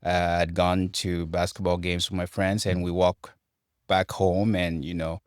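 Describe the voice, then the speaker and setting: A man in his forties from Cameroon, a construction director answering interview questions in a measured, reflective way with frequent restarts. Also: sits low, little pitch movement, clear, resonant tone, no room sound, studio quality. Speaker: construction director, podcast guest. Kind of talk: interview conversation.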